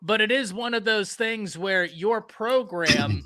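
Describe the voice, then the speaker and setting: High, bright-voiced sports stream host. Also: male voice, slow, dry recording, clean studio sound. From Texas. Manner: animated, talks to chat viewers by name. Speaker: sports talk show host. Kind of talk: casual live-stream sports chat.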